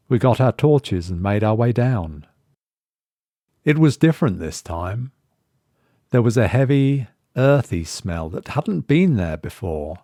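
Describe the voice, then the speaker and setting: A man narrating a horror story in a measured, suspenseful way. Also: lowish voice, mellow, dry recording, studio quality. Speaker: story reader.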